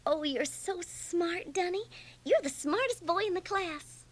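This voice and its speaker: An actress playing a coy, simple schoolgirl in a radio drama, girlish and flattering. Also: very high voice, a little slow, faint music behind. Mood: admiring.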